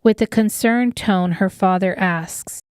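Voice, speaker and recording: A woman narrates an audiobook story at a slow, deliberate pace. Her mid-pitched voice is smooth and clear, recorded very dry. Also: a little mellow, no echo. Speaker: author and narrator.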